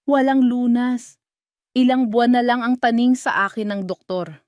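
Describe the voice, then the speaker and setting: A woman voicing an icy, detached sick wife in an audio drama, with a flat and unwavering delivery. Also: a little high, normal speed, no echo, studio quality, natural voice. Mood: cold, detached. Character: cold, emotionless ailing wife, adult woman.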